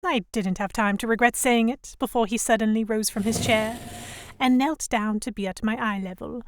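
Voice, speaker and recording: A woman's voice: a little high, fairly even intonation, moderate pace, dry recording, lightly acted. A woman playing a defiant, sarcastic young Victorian English noblewoman held captive, narrating in formal first person for an audio drama. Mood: tense narration. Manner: sardonic, defiant, formal diction. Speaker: narrator and lead voice actor.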